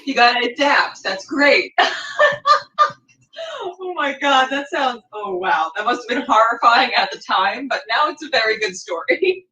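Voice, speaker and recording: A woman hosting a casual interview, chatting in an enthusiastic, expressive way. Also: on the high side, unhurried. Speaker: show host, interviewer. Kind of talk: casual chat. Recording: distant from the mic.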